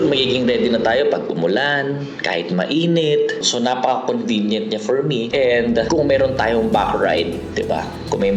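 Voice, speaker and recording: A Filipino man narrating a motorcycle vlog in a casual, conversational, explanatory way, mixing Tagalog and English. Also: medium pitch. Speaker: motorcycle vlogger. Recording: roomy echo, loud background music. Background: music.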